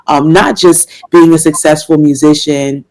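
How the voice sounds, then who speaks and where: A woman's voice: sits low, fairly even intonation, measured pace, a little mellow. A young woman from Washington, D.C., a jazz singer answering interview questions in an earnest, faith-filled way, with fillers and laughs. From Washington, D.C., United States. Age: young adult.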